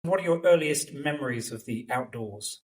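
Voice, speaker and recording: A man hosting a hiking podcast, speaking in a measured way. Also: mid-range voice, expressive, husky, rough recording. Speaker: podcast host. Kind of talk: scripted introduction and interview questions.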